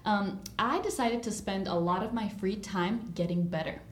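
A woman in her twenties from El Salvador, talking as a podcast guest with upbeat, eager energy. Her voice sits at an ordinary middle pitch, and it rings a little in a roomy, echoey space. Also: moderate pace, slight background noise. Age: 20s. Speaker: guest, image consultant. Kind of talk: casual podcast interview. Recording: roomy echo.

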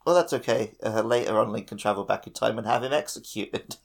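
A man's voice: fairly low pitch, a little fast, creaky. A man hosting a podcast, casually discussing a game in an informal, explanatory way. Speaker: podcast host.

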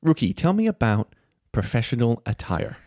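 A man hosting a conversational podcast interview, lively and laughing often. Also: mid-range voice, normal speed, dry recording, studio quality. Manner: lively, laughs often, reads sponsor spots. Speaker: podcast host.

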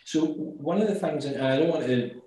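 A man's voice: fairly high pitch, moderate pace, warm tone. Glaswegian man in a very echoey room. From Scotland. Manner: thoughtful, restarts words often. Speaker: guest, company executive. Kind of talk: remote interview.

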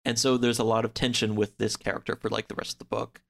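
A man with a lowish, gravelly voice full of fry talks casually, as a podcast guest would. He rambles through a story, drops "like" in often, and slips into quoting the characters as he goes. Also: little pitch movement, fast, fairly bright tone. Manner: rambling, peppered with "like"; acts out characters' lines in quotes. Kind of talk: casual chat, retelling a story.

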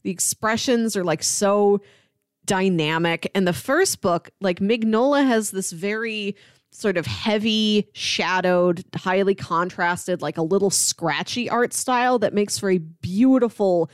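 A woman with a mid-pitched voice talks casually as a podcast co-host. She explains things with enthusiasm, sprinkling "like" through her sentences. The sound is dry, with very little room in it. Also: unhurried, no echo. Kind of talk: casual comic book discussion.

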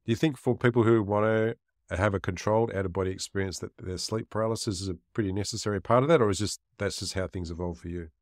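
A man's voice: fairly low pitch, fast, no echo, clean studio sound. A man hosting a podcast interview, friendly and inquisitive. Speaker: podcast host.

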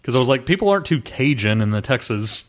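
A man's voice: medium pitch, expressive, fast talker. A man co-hosting a podcast, chatting casually and adding his own comments. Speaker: podcast co-host. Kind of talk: casual chat.

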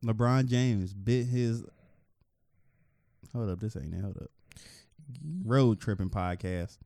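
A man co-hosting a casual sports podcast, rambling and joking, getting loud and lively when he argues. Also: on the low side, slow, no room sound. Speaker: podcast co-host.

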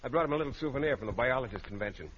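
A man's voice: medium pitch, expressive, very fast, a bit bright, natural voice. A man playing an earnest, bashful, oblivious biology teacher with hesitant, eager delivery in an old radio sitcom. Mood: cheery. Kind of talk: vintage radio sitcom acting. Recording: noisy muffled old radio broadcast.

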